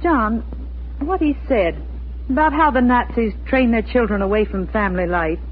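A woman's voice: on the high side, moderate pace, close to an everyday voice. An actress playing an anxious, devoted middle-aged mother, fretful and earnest in a 1940s radio drama style. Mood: troubled. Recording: tinny, noisy 1940s radio recording.

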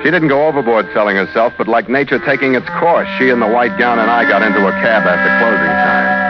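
A man's voice: mid-range voice, natural voice. A man playing a cool, wisecracking ship's master who tells his own story in a dry, hardboiled old radio drama style. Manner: dry, wry, laconic. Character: Phil Kearney, cool wisecracking ship's master, first-person narrator. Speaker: lead actor and narrator. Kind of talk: hardboiled old-time radio adventure acting. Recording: muffled old radio recording with music.

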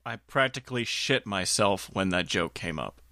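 A man's voice: low-pitched, expressive, moderate pace, bright, forceful tone, dry recording. Dry-recorded man, crude sarcastic podcast talk. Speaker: podcast panelist. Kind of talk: casual film discussion.

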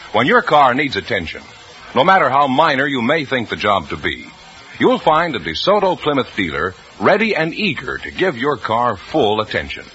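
Bright, animated American radio announcer. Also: male voice, mid-range voice. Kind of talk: 1950s radio announcing, polished sales pitch. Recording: dull old radio broadcast recording.

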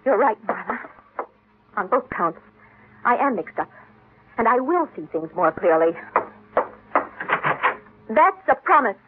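Determined young daughter, resentful, suspicious, radio drama, narrow tinny old recording. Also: female voice, a little high, sing-song, unhurried, long pauses, noisy background. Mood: defiant. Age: young adult. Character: bitter, suspicious, determined twenty-year-old heiress daughter.

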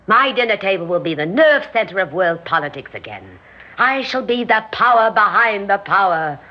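Grandiose scheming society hostess, swooping delivery. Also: female voice, mid-range voice. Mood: grandiose, fervent. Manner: theatrical, imperious. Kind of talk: old radio drama acting. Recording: muffled old radio recording.

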